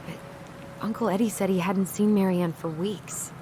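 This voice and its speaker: A woman's voice: mid-range voice, fairly even intonation, moderate pace, noisy background, natural voice. An actress playing a guarded young American woman in a video game, with a hesitant, conversational way of talking. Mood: defensive. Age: young adult. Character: guarded young woman, loyal to her family, easily exasperated. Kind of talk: naturalistic video game dialogue.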